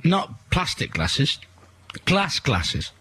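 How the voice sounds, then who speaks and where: A man's voice: on the high side, wildly swooping pitch, drawn-out words, bright, forceful tone, slight background noise. A man hosting a radio breakfast show, telling stories and joking with his team in the studio. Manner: chatty, joking storyteller. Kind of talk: casual studio banter.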